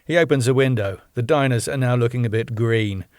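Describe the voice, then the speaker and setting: A man reading his own sitcom script aloud, stage directions included, with a lively delivery. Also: mid-range voice, brisk, dry recording, studio quality.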